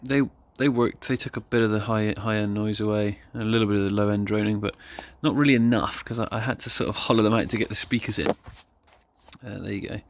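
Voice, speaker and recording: A man's voice: fairly low pitch, fairly even intonation, fast. A man talking casually and off the cuff as he presents a hands-on DIY demonstration, informal and self-deprecating. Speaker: car hobbyist presenting a DIY video.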